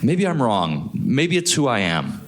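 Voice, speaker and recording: A man's voice: mid-range voice, wildly swooping pitch, a little slow. A man playing a tentative, defensive, guilt-laden husband in a live radio play, hesitant and pleading. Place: live on a theatre stage.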